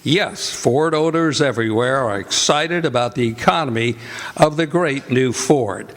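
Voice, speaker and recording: A man announcing a live radio theatre show in a formal, deliberate old-time broadcast style. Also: medium pitch. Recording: live stage with music and audience. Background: music and audience noise.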